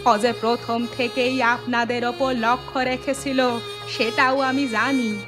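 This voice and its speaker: A woman's voice: a little high, level tone, a little slow, music in the background, natural voice. A woman playing an earnest, deferential village local who gives warnings in a horror cartoon. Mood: grave warning.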